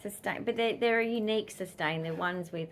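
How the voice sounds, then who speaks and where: An Australian woman with a croaky voice, chatting casually and rambling to her vlog audience at home and in a car. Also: medium pitch, fairly quick, echoey room, slight background noise. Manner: chatty, rambling, laughs often. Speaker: vlogger.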